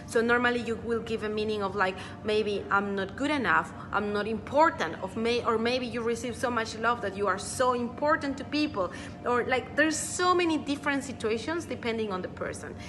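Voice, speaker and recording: A woman's voice: a little high, lively intonation, a little fast, noisy background. A woman giving an earnest motivational talk as a personal development coach. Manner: earnest, repeats words for emphasis. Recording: reverberant room with faint music.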